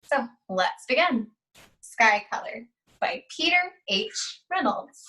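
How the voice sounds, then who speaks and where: A woman teacher reading a children's storybook aloud, with deliberate, expressive phrasing and pauses. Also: sits high, distant mic, rough recording.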